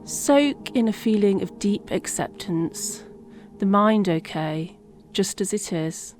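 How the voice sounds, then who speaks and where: A woman guiding a meditation in a gentle, unhurried manner, leaving long pauses between phrases. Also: mid-range voice, lively intonation. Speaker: meditation guide. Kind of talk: guided meditation narration. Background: relaxing music.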